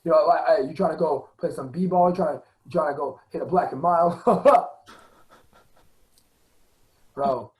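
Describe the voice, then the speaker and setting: A man's voice: a little high, a little slow, long pauses, a little mellow. A young man talking animatedly and passionately as a guest in a casual conversation, often breaking into laughter. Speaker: podcast guest.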